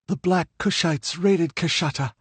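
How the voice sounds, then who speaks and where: A man voicing a desperate young noblewoman held captive, pleading in a high, strained voice near tears. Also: unhurried, fairly bright tone, slightly rough, dry recording. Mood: grieving.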